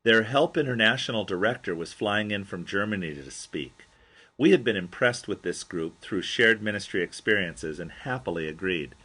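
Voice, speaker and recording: A man with a husky voice reading his own memoir aloud as an audiobook, telling it as an expressive storyteller. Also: fairly low pitch, normal speed. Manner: expressive storyteller, acts out quoted dialogue.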